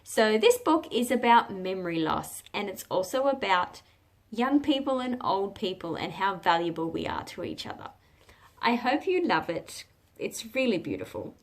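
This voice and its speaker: Expressive Australian woman reading to children. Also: medium pitch. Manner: explanatory, asks listeners simple questions, measured phrasing. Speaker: children's read-aloud host. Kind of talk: read-aloud storytelling for children.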